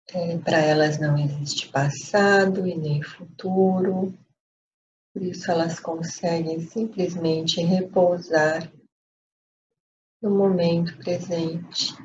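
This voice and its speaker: Slow-paced Brazilian woman guiding meditation. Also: fairly low pitch. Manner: calm, unhurried instruction with pauses. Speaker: meditation guide. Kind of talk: guided meditation. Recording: dull lo-fi audio, faint noise.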